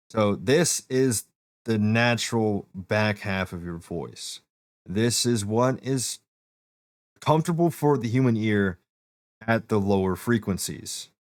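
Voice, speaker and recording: Deep-voiced man explaining a setup. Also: dry recording. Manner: explains steps plainly, fillers, talks to a friend. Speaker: streamer giving setup help. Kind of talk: casual walkthrough.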